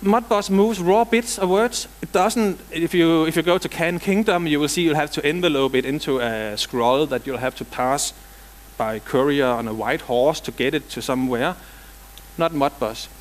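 A man from Denmark with a Danish accent presents at a software conference. His voice sits a little high, and he slips casual, dry jokes between the technical points. He is middle-aged and speaks on a lapel mic in a big hall. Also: moderate pace, a little hiss or hum. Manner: dry joking, casual asides.

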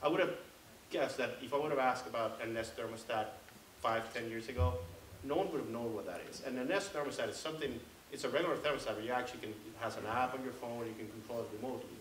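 A man's voice: medium pitch, fast talker, fairly bright tone. An older man in his sixties on a panel, answering an audience question in a chatty way with everyday examples. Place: large library room. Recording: echoey room from far off with hiss.

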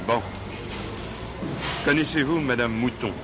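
An actor playing a shrewd, casual, quietly insistent police inspector in a French radio crime drama. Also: male voice, medium pitch, unhurried, background noise, close to an everyday voice. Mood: businesslike. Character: shrewd, wry, unhurried male police inspector.

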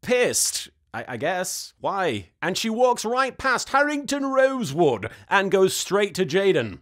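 A man delivers a comedic recap with heavy sarcasm. His voice is fairly high and very animated, and he exaggerates his bafflement for effect. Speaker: host of an anime recap show.

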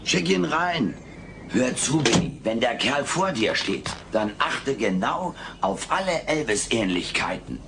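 An actor playing a brash, scheming furry alien houseguest in a sitcom audio drama, glib and theatrical. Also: male voice, mid-range voice, very animated, unhurried, vocal fry. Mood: cocky. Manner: glib, theatrical, mock-innocent. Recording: noisy old cassette audio drama.

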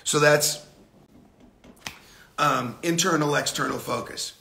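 A middle-aged man with a gravelly, raspy voice, a bit hoarse from a cold, giving a casual, blunt golf lesson on a livestream from an indoor simulator room. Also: on the high side, bright, forceful tone, echoey room, a little hiss or hum. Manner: blunt, casual, drawn-out emphasis. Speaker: golf instructor.

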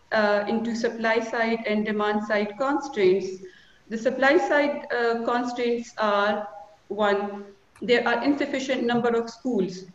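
A woman in her forties with a Pakistani accent, giving a measured conference talk full of figures from a small office, with fillers between numbers. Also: a little high. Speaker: conference panelist. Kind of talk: formal presentation with statistics. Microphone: video call webcam. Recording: echoey lo-fi video call.

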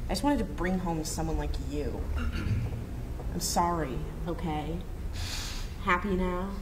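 A young woman actor at a table read, playing a defiant, volatile teenage girl in a shrill, combative voice. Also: expressive, slow. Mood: bitter. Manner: shrill and combative, voice soaring when angry. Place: indoor room at a table. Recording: noisy room recording.

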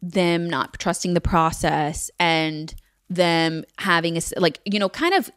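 A young woman hosting a podcast, chatting casually in a cozy living room. She is bubbly and animated and laughs often as she tells stories. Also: on the low side, unhurried, clear, resonant tone, dry recording, clean studio sound. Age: young adult. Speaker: podcast host. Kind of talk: casual chat. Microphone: boom-mounted studio mic.

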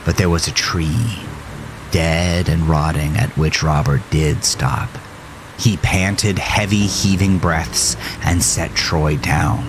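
A man's voice: low voice, takes it slowly, noisy background. A man giving dramatic scripted horror narration in an ominous, theatrical manner. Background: music.

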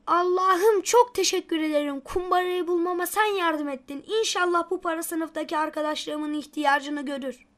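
A woman's voice: extremely high pitch, steady tone, moderate pace, a bit bright. A woman voicing an eager, pious little boy in a children's cartoon, earnest and bubbly.

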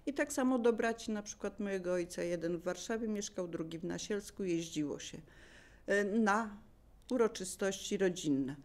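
A woman casually sharing memories of family life, in the relaxed style of oral history. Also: medium pitch, moderate pace. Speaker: local resident sharing memories.

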